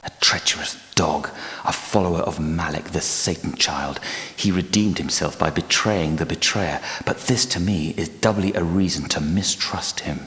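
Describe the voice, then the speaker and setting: An actor playing a proud, sorrowful, devout guardian knight in a video game, with grave delivery and formal, archaic diction. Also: male voice, sits low, moderate pace, fairly bright tone. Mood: scornful. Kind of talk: dramatic video game character acting. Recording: long cavernous hall reverb.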